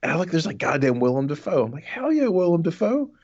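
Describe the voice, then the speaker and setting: A man's voice: fairly high pitch, big pitch swings, fast talker, a little mellow, highs cut off. A man co-hosting a podcast with casual comic rants, rambling, sardonic and sweary, stammering and repeating words. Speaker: podcast co-host. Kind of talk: casual comic ranting chat.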